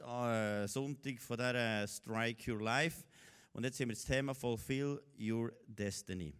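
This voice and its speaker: A man in his fifties with a Swiss German accent, preaching a lively sermon as a guest speaker, joking and rallying the congregation. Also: mid-range voice. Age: 50s. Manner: exuberant, joking, rallies the crowd.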